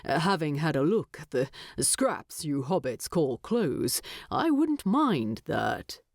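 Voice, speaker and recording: A woman performs a gruff storybook dwarf man with a creaky voice. He speaks slowly and formally, hesitating politely, yet his pitch moves in a lively way. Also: no room sound, clean studio sound, full character voice. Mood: dry, at ease. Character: gruff, awkward, courteous dwarf man in exile.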